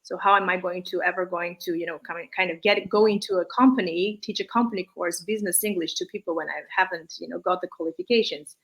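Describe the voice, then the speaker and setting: A woman's voice: lowish voice, fairly even intonation, quick pace, hardly any pauses, a bit bright, rough recording. A woman from Armenia, an English speaker, giving conversational interview answers with hesitant, circling phrasing. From born in Armenia, raised partly in Malaysia. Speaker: interview guest, English teacher.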